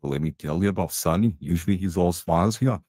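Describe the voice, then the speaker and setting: A man's voice: low voice, brisk, a little mellow, dry recording, studio quality. A man playing a gossiping canteen tea lady, chatty and blunt with plenty of slang.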